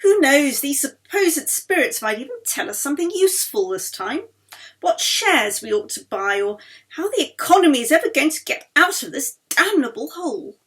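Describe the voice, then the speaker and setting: A woman voicing a hearty, teasing 1930s gentleman host in a storybook, with booming, drawn-out, mock-ceremonial delivery. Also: sing-song. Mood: dismissive, joking.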